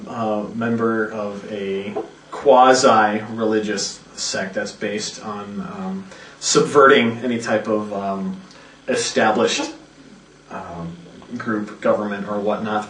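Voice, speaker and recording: A man's voice: lowish voice, takes it slowly, fairly bright tone. A man who works as a voice actor, chatting casually and loosely behind the scenes. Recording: roomy, distant mic, faint noise.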